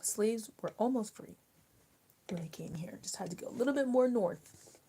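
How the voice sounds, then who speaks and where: A young woman hosting a casual livestream while she eats dinner. She rambles and drops often into soft near-whispers. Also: on the high side, expressive, moderate pace, a little hiss or hum. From US mid-Atlantic, rural border area. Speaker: livestream host. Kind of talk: casual chat with live viewers while eating.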